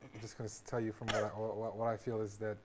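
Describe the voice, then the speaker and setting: A man's voice: lowish voice, completely flat delivery, quick pace, background noise. A man from Maryland, a regular panel guest, speaking casually with many restarts and repeated words. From Maryland, USA.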